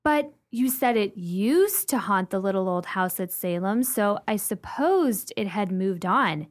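A woman's voice: medium pitch, lively intonation, unhurried, close to an everyday voice. An actress playing a playful, eager American woman listening to a ghost story in a radio play, inquisitive and theatrical. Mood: curious.

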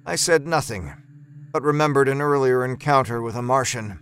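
A man narrating dramatic first-person horror fiction in a measured, deliberate, articulate manner. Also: medium pitch, fairly bright tone, slight background noise. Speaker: storyteller.

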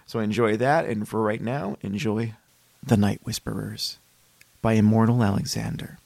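Creaky-voiced man co-hosting a podcast. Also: fairly low pitch, moderate pace, darkish tone, dry recording. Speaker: podcast co-host and writer. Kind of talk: casual chat.

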